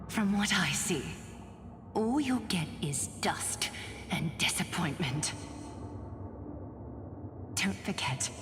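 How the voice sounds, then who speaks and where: Slow, echoing, dignified noblewoman. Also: fairly low pitch, noisy background. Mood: defiant. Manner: measured, solemn, composed. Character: dignified, resolute noblewoman leader, calm and defiant. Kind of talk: scripted video game acting.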